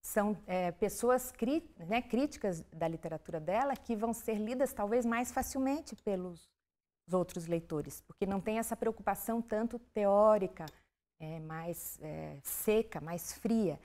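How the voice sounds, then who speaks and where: Woman prof talking about her book, steady measured voice, lots of "né?". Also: medium pitch. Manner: calm, measured, frequent "né?". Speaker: author and literature professor guest. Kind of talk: interview answer.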